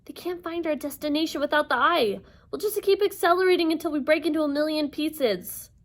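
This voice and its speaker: Young girl sidekick played by a woman, high-pitched, anxious, bossy and urgent; storybook adventure. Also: brisk, natural voice. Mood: worried warning. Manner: urgent, worried.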